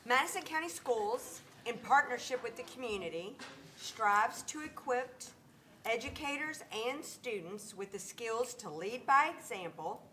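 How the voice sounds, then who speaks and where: A woman board member reading the district's mission statement aloud at a meeting, measured and formal. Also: fairly high pitch, lively intonation, pushed and bright, a little hiss or hum.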